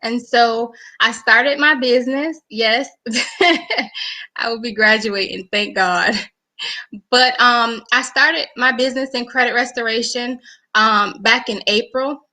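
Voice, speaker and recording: Bright-voiced young woman telling her story. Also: a little high, slow, low-quality recording. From New York. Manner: earnest, conversational, with frequent fillers. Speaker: guest speaker. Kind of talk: personal testimony talk.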